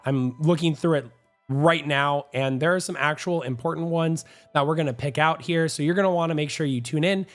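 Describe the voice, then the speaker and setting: A young man hosting a gaming news show, hoarse and a bit nasal from a cold, talking in an upbeat, talkative and self-assured way. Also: fairly high pitch. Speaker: gaming channel host. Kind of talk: energetic gaming news commentary.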